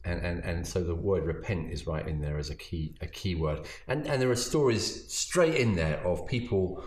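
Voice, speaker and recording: A man, a pastor, casually summarizing his sermon, often halting and repeating words as he thinks. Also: sits low, a little mellow. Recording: roomy echo.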